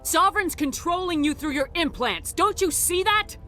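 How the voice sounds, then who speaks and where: Firm female military hero for sci-fi game, high register, animated and commanding. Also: normal speed, faint music behind, full character voice. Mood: urgent, defiant. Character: resolute female space commander, steadfast hero. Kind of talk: video game cutscene acting.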